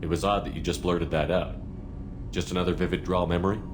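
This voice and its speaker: An actor playing an earnest, principled human military commander in a video game, with a direct, questioning manner. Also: male voice, deep voice, little pitch movement, fast talker, noisy background, close to an everyday voice. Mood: challenging. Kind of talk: video game dialogue acting.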